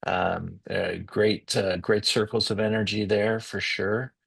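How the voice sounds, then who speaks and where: A man hosts a wine talk over a video call, speaking in a very low, gravelly voice with a husky edge. He is upbeat and leans on ums and uhs as he goes. The sound is dry and close. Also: fairly even intonation, unhurried, fairly bright tone, no room sound. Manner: enthusiastic, hesitant with fillers. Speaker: wine show host.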